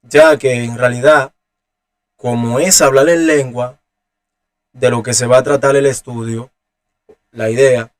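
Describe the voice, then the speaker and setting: A preacher with a Dominican Spanish accent explains scripture at an unhurried, teaching pace. He speaks in a middle-pitched voice and often pauses on "eh" as he gathers his thoughts. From Dominican Republic. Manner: unhurried, explanatory, with frequent "eh" fillers. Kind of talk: Bible teaching.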